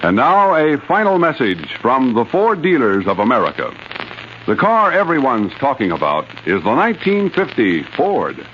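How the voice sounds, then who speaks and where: Very animated male radio announcer. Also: mid-range voice, normal speed. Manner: polished, emphatic salesmanship. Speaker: commercial announcer. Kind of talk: 1950s radio advertising read. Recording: dull vintage radio recording, faint noise.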